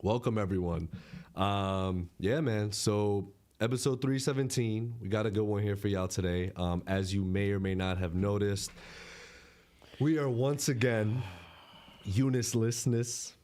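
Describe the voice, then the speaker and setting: A man co-hosting a live podcast in a relaxed, joking, slangy way. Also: on the low side, unhurried. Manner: laid-back, joking, slangy. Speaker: podcast co-host. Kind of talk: casual live-stream podcast chat.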